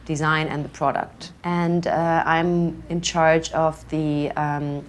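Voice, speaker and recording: A woman's voice: sits low, slow. A young woman from Serbia, co-founder of a fashion label, talking casually and hesitantly with many fillers. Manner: relaxed, hesitant with frequent fillers. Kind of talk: casual conversational interview.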